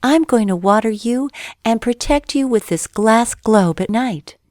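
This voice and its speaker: A woman voicing an innocent, earnest young boy prince in a children's storybook, soft and sincere. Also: a little high, lively intonation, a little slow, no room sound, clean studio sound, pitched above the performer's own voice. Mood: admiring, tender.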